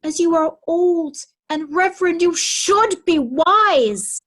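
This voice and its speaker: Woman playing snooty royal daughter in shakespeare, really high pitched, slow and reproving. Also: lively intonation, a bit bright, heavily acted. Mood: stern, reproving. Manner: formal, reproving. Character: haughty royal daughter scolding her aged father. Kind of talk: Shakespearean stage reading.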